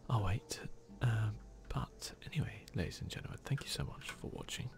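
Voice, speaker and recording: A man hosting an ASMR video game playthrough, commenting in a hushed voice that drifts between soft speech and whispering, close to the microphone. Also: fairly low pitch, moderate pace, creaky, over music. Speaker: gaming video host.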